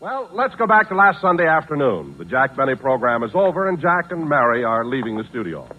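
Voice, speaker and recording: A man's voice: mid-range voice, big pitch swings, brisk, natural voice. An American man playing an announcer who narrates in 1940s radio style. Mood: formal narration. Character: male radio show announcer. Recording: dull, faintly noisy 1940s radio recording.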